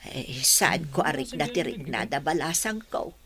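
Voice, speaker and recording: A woman's voice: low-pitched, lively intonation, measured pace, a crowd in the background. An actress in a radio drama playing an older motherly woman, consoling and chatty, drawing out the words. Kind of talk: Ilocano radio drama acting.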